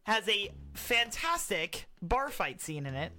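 A slightly drunk woman co-hosting a podcast, swearing freely as she tells a story. Her pitch swoops up and down a lot. Music plays clearly underneath her. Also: takes it slowly. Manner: animated, profane storytelling with voice impressions. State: a little drunk. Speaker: podcast co-host. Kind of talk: casual chat.

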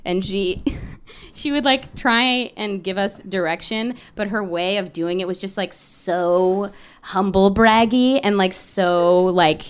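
A woman's voice: medium pitch, slow, clean tone. A young woman co-hosting a podcast, telling stories casually with swearing and laughs into a handheld mic. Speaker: podcast co-host. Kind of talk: casual comedic chat. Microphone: handheld microphone.

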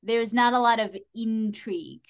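A woman's voice: fairly high pitch, normal speed. A woman speaking as a podcast guest, chatting casually and giving her opinions freely.

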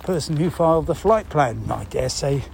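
A man explains a news story in unscripted, halting talk, thinking aloud and posing rhetorical questions. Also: fairly high pitch, lively intonation. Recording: clear background noise.

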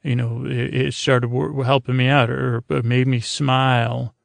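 A man hosting a bedtime podcast in a rambling, halting, goofy monologue, repeating and stammering as he drifts along, with a creaky, gravelly voice. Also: mid-range voice, level tone, dry recording. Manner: goofy, oddball. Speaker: podcast host.

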